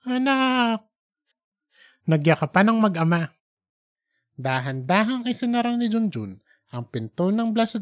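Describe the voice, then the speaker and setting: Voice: mid-range voice, darkish tone. A narrator reading a scripted fictional story for an audiobook podcast.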